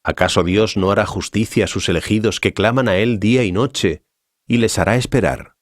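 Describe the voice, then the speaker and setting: Un actor como Jesús, maestro solemne de voz muy grave. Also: male voice, lowish voice, no room sound, clean studio sound, natural voice. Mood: solemn teaching. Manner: solemn, measured, didactic. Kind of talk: dramatized scripture reading.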